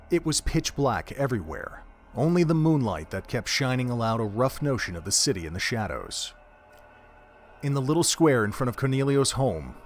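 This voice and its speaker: Man narrating a story, measured. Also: medium pitch, slight background noise.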